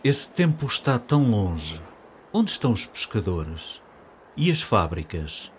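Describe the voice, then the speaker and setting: A man's voice: on the low side, sing-song, unhurried, slight background noise. A middle-aged man from Portugal narrating a documentary in the first person, in a reflective, nostalgic manner. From Portugal, later living in France.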